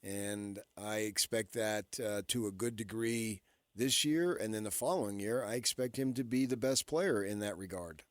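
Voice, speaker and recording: A man co-hosting a sports talk radio show, making his case in deliberate, measured and rather formal phrases. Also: lowish voice, dry recording.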